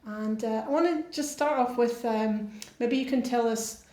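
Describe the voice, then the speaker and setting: A woman's voice: fairly high pitch, moderate pace, mellow. A woman hosting a podcast, introducing the episode and interviewing her guest with frequent listening sounds. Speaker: podcast host.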